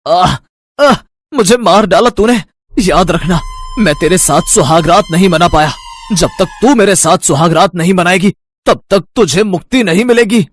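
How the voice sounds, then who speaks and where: A man's voice: sits high, moderate pace, slight background noise, natural voice. A man playing a wicked, lovesick, scheming snake charmer in a cartoon, with a melodramatic manner. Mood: agonised, cursing. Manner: melodramatic, pleading and gloating.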